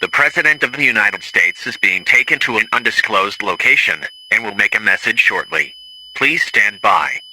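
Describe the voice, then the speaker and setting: A man's voice: fairly low pitch, animated, normal speed, sharp tone. A synthetic male announcer reading a formal emergency alert in a noisy radio-style broadcast.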